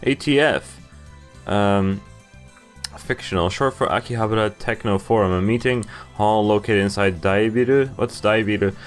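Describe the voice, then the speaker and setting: A man's voice: fairly low pitch, moderate pace. A young man on a headset casually reading a visual novel aloud and commenting as he goes. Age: young adult. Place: indoor room at a computer. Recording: game music behind the voice.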